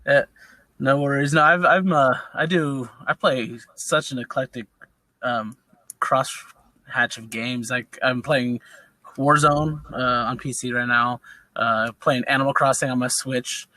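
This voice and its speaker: Bright-voiced man in his thirties, rambling. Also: medium pitch, fairly even intonation, unhurried, no echo. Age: 30s. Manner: rambling with many fillers and restarts. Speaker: podcast co-host. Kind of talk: casual chat.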